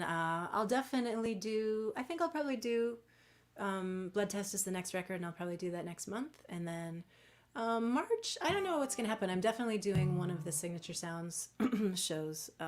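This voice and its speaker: Singer-songwriter, woman, easygoing patter at home, hoarse voice, guitar behind. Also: fairly low pitch. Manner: soft, rambling and self-deprecating, with many fillers. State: losing her voice. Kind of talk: casual chat between songs.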